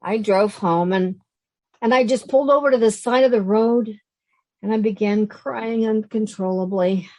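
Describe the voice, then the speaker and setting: A woman acting a grieving, emotional woman in her late fifties in a play reading, speaking in a halting, heartfelt way. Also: medium pitch, mellow, close to an everyday voice, rough recording. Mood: tearful, confiding. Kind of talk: play reading, naturalistic dialogue.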